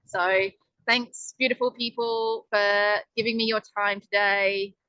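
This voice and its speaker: A woman's voice: medium pitch, steady tone, measured pace, bright, forceful tone, no room sound, dull audio. A woman presenting a casual webinar in a chatty, upbeat way, with lots of ums. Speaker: online business presenter.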